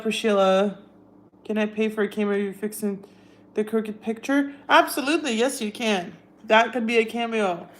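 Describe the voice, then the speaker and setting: Young woman, Russian accent, casual livestream chat, answers viewer comments, relaxed. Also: medium pitch. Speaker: livestream host.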